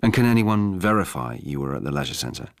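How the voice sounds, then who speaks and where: A man's voice: a bass voice, big pitch swings, fairly quick, no echo, studio quality, full character voice. Man reading as probing, clipped female detective. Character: brisk, polite, probing female police detective.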